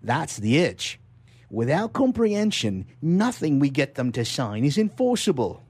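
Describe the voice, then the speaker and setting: A man's voice: fairly high pitch, very animated, unhurried, darkish tone, breathy edge, pitched above the performer's own voice, exaggerated voice. A man voicing an irritable, exasperated chief executive in a satirical short story read aloud for radio. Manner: tense, irritable. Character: Lucifer, dangerously calm, exasperated male corporate chief executive.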